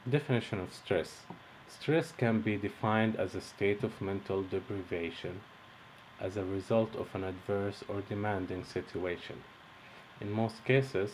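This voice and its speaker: A young man from Egypt, a course instructor, reading out a lesson introduction in a measured, steady way. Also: low voice, slight background noise, poor audio.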